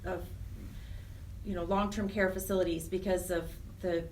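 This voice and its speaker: A woman's voice: on the low side, fairly even intonation, normal speed, a little mellow. A middle-aged American woman speaking earnestly and reflectively as a guest in a conversational radio interview. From Wyoming, United States. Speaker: interview guest, charity program director. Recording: distant mic with faint noise.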